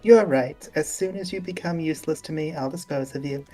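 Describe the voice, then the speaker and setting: Woman playing a low, cold, imperious mistress. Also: brisk, dark tone, soft background music. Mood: haughty threat. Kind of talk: amateur game script read-along.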